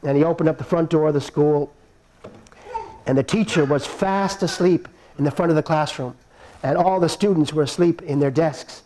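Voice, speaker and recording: A man telling a folk tale at a live puppet performance, playful and animated, with chuckling asides. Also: a little high, moderate pace, slight background noise, rough recording. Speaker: storyteller in a puppet show. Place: live performance before an audience.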